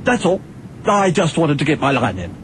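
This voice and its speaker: A man's voice: on the high side, sing-song, moderate pace, a little hiss or hum. A man playing a timid, fussy archaeology professor in a parody radio drama, speaking in a hesitant, plaintive way.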